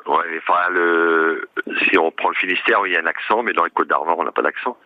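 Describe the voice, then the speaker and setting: An older man with a Breton accent, a retired teacher calling in to a radio show from outdoors in the wind, telling his story slowly with many fillers and a dry sense of humor. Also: deep voice, narrow, tinny audio. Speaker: caller, retired primary school teacher. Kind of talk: casual phone-in chat. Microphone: phone call.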